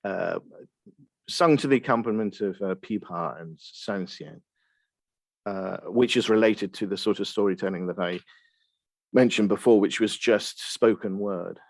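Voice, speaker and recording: Male scholar interviewed on a podcast, slightly deep, dry recording, hesitant explanatory delivery. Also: unhurried, gravelly, no room sound. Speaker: podcast guest, Chinese literature expert.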